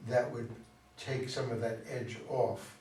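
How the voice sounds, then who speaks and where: A man of average pitch offers critique in a casual group talk. His voice carries room echo and faint background noise. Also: flat delivery, slow pace, a little mellow. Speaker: participant in a play discussion group. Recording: echoey room, distant mic, background noise.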